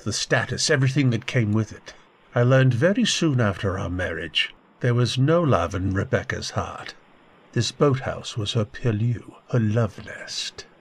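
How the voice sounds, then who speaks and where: Actor doing bitter guilt-ridden husband, low gravelly husky voice, old radio drama. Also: male voice, exaggerated voice.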